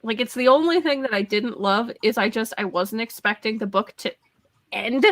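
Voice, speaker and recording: A woman speaks in a casual live book chat with a bright, forward voice on the higher side. She is colloquial and often acts out reactions as part of her point. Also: normal speed, no room sound. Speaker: book discussion panellist.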